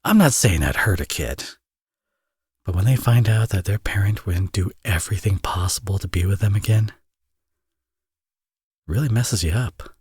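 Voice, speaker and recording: Man acting a husky, low-voiced villain dad. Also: expressive, normal speed, long pauses, darkish tone, no echo, clean studio sound. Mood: serious, protective. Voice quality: husky, breathy. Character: teasing villain, tender doting young father. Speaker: audio roleplay voice actor. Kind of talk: intimate ASMR audio roleplay acting.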